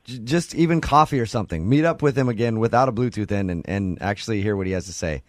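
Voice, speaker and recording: A man's voice: fairly low pitch, quick pace, no room sound. A man hosting a morning radio show, bantering and steering the conversation.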